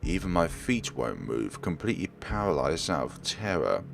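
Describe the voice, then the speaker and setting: A man's voice: low-pitched, sing-song, normal speed, vocal fry. A man narrating a horror game as a let's player, reading the script aloud theatrically and adding his own commentary.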